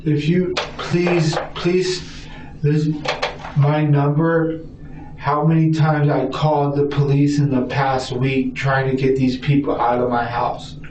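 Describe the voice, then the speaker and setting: A frightened, distressed man being interrogated as a suspect, giving a halting, rambling account and stammering over repeated words. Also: fairly high pitch, level tone. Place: indoor police interview room. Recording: roomy, distant, muffled, noisy interrogation recording.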